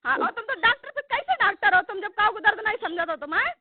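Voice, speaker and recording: High-pitched woman on a tinny phone call. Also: expressive, quick pace, no room sound. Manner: accusing, presses questions over and over. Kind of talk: heated phone argument. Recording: tinny recorded phone call with crowd noise.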